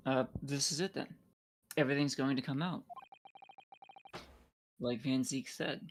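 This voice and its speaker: A man's voice: medium pitch, unhurried, slight background noise, lightly acted. A man voicing an earnest, anxious young defense lawyer, speaking politely in a video game fan dub. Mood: apprehensive, resolved.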